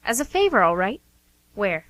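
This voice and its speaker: A woman's voice: mid-range voice, big pitch swings, normal speed, fairly bright tone, no room sound. A woman playing a secretive, harried sister in an amateur radio drama, with a curt, emphatic delivery. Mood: tense, secretive.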